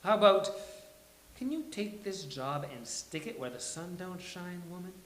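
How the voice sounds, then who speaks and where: A man's voice: sits high, animated, moderate pace, a bit bright. Guy doing sardonic put-upon lawyer, sitcom read, roomy sound with a bit of hiss. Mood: mock defiant. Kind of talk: live sitcom table read. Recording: echoey room, hissy background.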